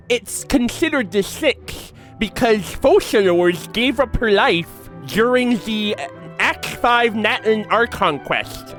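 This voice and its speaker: High-pitched, gushing young man reacting. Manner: slangy, gushing, animated fan talk. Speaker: reaction video creator. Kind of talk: casual excited reaction commentary.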